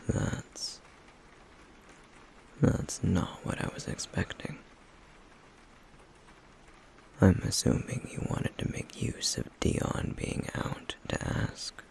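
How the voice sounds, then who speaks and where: A man playing a stoic, guarded flame demon in an ASMR roleplay, in a breathy, gravelly near-whisper with halting, measured pauses. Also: deep voice, wildly swooping pitch, a little mellow, exaggerated voice. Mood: wary, quietly curious.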